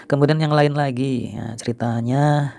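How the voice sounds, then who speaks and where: A middle-aged man from Indonesia hosting a video, reminiscing to viewers in an informal, unhurried, explanatory way. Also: mid-range voice, fairly even intonation, gravelly, dark tone, no room sound. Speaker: video host and storyteller.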